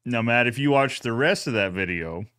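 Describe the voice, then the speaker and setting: A man's voice: medium pitch, animated, normal speed, bright, forceful tone, clean tone. A man hosting a podcast recap in a casual, folksy, conversational way. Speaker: host of a podcast recap show.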